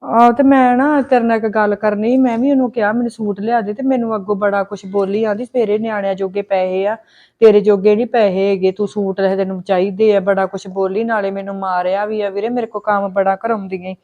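A woman's voice: mid-range voice, steady tone, a little fast, warm tone, lo-fi sound, close to an everyday voice. A woman playing a tearful young unmarried sister-in-law who keeps complaining. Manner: complaining, plaintive. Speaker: actor in a family skit.

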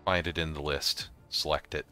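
Deep, bright-voiced man giving a tutorial. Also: level tone, moderate pace, soft background music. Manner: informal, step-by-step explaining. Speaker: host of a software tutorial. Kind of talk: casual screen-recorded walkthrough.

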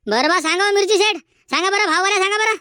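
A performer voicing a haggling, bantering cartoon chilli-market trader, squeaky and folksy, in comedic dialogue. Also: quick pace, bright, forceful tone, dry recording, clean studio sound. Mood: firm haggling. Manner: folksy, insistent bargaining. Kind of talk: comedic cartoon dialogue in colloquial rural Marathi.

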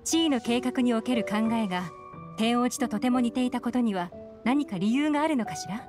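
A cool young woman investigator from a video game, acted by a woman. Her voice sits a little high, and she speaks with composed, analytical directness. Soft music runs underneath. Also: a little fast, natural voice. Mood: cool, direct. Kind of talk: Japanese game voice acting.

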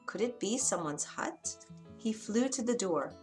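A woman reading a scripted children's fairy tale in an expressive, deliberate storytelling manner. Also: mid-range voice. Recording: music behind the narration. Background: music.

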